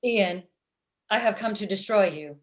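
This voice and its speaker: A woman playing a blunt female matchmaking robot with formal, deadpan phrasing in a comedy table read over video call. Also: mid-range voice, a little slow, natural voice. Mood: deadpan teasing. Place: indoor room at home.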